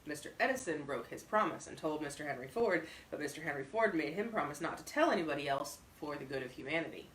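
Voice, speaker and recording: Woman reading as an aloof storybook gentleman, deadpan, crisp, even, lowish pitch, roomy far-off recording. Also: fast talker, few pauses, a little hiss or hum. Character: dry, aloof male stranger spinning tall tales. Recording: roomy echo, distant microphone.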